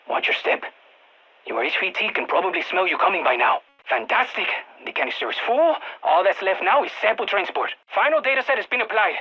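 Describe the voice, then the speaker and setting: Game role: excitable scientist, Spanish accent, very animated, husky, slightly muffled radio sound. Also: male voice, high voice, full character voice. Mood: pleased. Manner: animated, exclamatory, peppers speech with Spanish interjections. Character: excitable, quirky male exogeologist supporting a military strike team. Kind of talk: video game voice acting, mission radio callouts.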